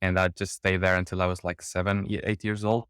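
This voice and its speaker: A man from Portugal with a low, resonant voice talks through interview questions in an easygoing, halting way. He restarts often and leans on fillers, and the sound is close and dry. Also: flat delivery, a bit bright, smooth, no room sound. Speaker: startup cofounder, guest. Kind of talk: casual interview answers.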